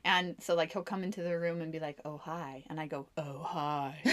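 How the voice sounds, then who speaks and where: A woman's voice: low-pitched, expressive, a little slow, a bit bright. A woman co-hosting a podcast, a mom giving upbeat, encouraging advice in casual chat. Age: adult mom. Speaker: podcast co-host.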